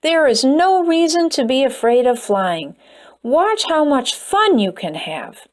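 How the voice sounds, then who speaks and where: Woman reading as a goofy, excitable little bird, high and playful. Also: slow, heavily acted. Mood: eager. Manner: eager, upbeat. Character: silly show-off male bird, eager.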